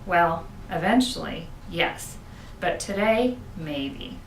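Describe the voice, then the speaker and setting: A woman's voice: lowish voice, expressive, slow. Woman reading as earnest schoolboy, echoey room. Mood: puzzled, literal. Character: earnest, literal young schoolboy. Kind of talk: children's storybook character reading. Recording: roomy, distant, noisy home-style recording.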